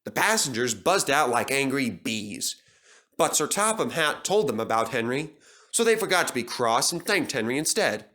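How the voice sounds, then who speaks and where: A man's voice: medium pitch, very animated, moderate pace, pushed and bright. A man narrating a children's story as a scripted reading.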